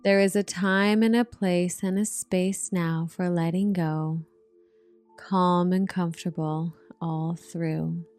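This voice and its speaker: A woman narrating a guided meditation in a soothing, gentle manner, with drawn-out hypnotic phrasing. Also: on the low side, steady tone. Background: relaxation music.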